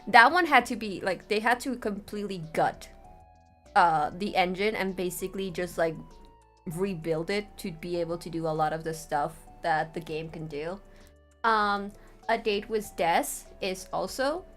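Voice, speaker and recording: A woman's voice: fairly low pitch, normal speed, fairly bright tone, soft background music. A woman talking informally as a streamer teaching a tutorial, chatty and often checking in with her listeners. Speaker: streamer teaching how to make visual novels. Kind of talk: casual livestream tutorial talk.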